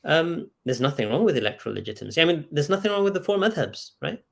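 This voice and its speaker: A man speaking as a scholar in an earnest intellectual debate, insistent, pausing mid-thought and often checking for agreement. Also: on the high side, sing-song, vocal fry. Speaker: scholar and author in discussion. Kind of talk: earnest intellectual debate over video call. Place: video call.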